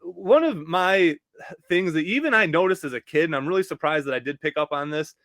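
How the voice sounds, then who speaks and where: A man's voice: fairly high pitch, lively intonation, brisk, fairly bright tone, no echo. A man co-hosting a podcast in casual fan discussion, informal and opinionated, on a close microphone. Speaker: podcast host.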